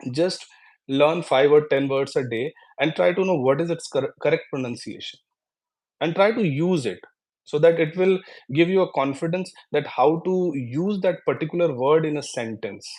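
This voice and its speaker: A man's voice: fairly high pitch, normal speed, a little mellow. A man with an Indian accent answering questions as a guest English trainer, explaining earnestly and repeating points for emphasis. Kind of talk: live question-and-answer session, explanatory advice.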